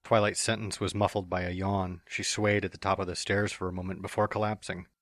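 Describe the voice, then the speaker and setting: A man narrating a fan story in a plain, even storytelling manner. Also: sits low, a little fast, no room sound, studio quality. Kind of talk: fan dramatic reading of a story.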